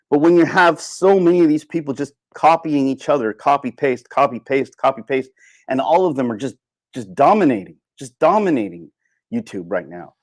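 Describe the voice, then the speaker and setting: A man's voice: mid-range voice, no room sound. A man hosting a casual livestream, talking off the cuff in a rambling way and repeating phrases for emphasis. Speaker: livestream host. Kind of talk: casual unscripted livestream rant, reading and answering chat.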